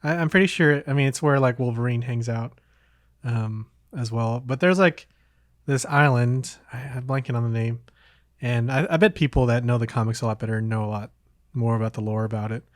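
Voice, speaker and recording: A man's voice: medium pitch, fairly quick, no echo, studio quality. A man chatting casually as a podcast host, hesitant and rambling as he thinks aloud. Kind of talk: casual chat.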